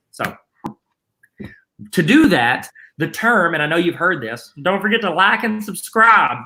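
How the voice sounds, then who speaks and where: A man with a Southern accent walks teachers through the basics in a chatty, joking way. His voice sits a bit high and sounds bright and forward. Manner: joking, chatty, self-deprecating asides. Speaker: guest presenter teaching teachers. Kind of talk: casual instructional webinar.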